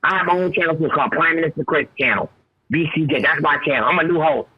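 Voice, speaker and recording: A man's voice: fairly high pitch, quick pace, husky, no echo, lo-fi sound. A man in his fifties calling in, talking in an emphatic, preachy way and repeating himself as he stumbles over his words. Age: 50s. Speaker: caller. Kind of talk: fired-up preachy call-in talk.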